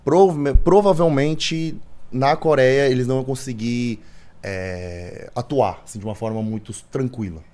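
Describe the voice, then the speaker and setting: A hoarse man, interpreting in casual chat, halting with many restarts. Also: mid-range voice, darkish tone.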